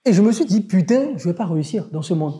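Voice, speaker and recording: Male entrepreneur, West African accent, mellow tone, emphatic motivational podcast talk. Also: high-pitched, expressive, quick pace. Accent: West African French. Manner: emphatic, motivational, addresses listeners directly. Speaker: online business entrepreneur. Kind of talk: casual podcast conversation.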